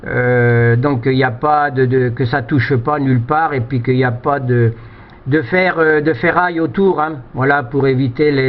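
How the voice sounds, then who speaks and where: A man chatting casually as an amateur radio operator, giving technical advice and often repeating words as he hesitates. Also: mid-range voice. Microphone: two-way radio microphone. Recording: dull, lo-fi radio transmission.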